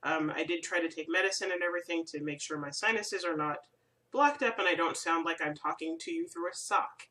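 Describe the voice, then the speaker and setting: A woman's voice: fairly low pitch, a little fast, sharp tone, recorded from a distance. Stuffy-nosed woman chatting at home. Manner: rambling, friendly, lots of ums. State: stuffy from a cold. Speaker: crafting video host. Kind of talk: casual chatty vlog. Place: room at home.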